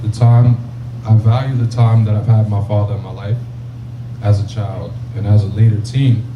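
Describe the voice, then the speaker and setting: A young man with a deep voice, speaking slowly and haltingly as a panelist sharing his experience. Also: flat delivery. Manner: slow, halting, soft-spoken. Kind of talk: panel testimony. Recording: dull, echoey room with noise.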